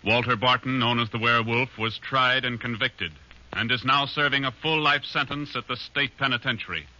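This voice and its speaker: A male radio announcer delivering scripted closing announcements in a formal, even way. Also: medium pitch, moderate pace. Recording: dull old radio broadcast with music.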